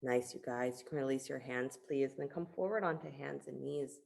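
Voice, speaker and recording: A woman teaching a guided yoga class, giving step-by-step movement cues in a conversational, encouraging manner with a creaky voice. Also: rumbling low, brisk, darkish tone.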